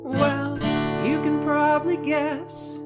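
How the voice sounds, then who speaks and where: A woman performing as a wistful woman in a stage musical, singing slowly over plucked guitar. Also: high voice, flat delivery. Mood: bittersweet. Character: Jay, wistful woman recovering from psychiatric crisis. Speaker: singer in a musical reading. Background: plucked guitar accompaniment.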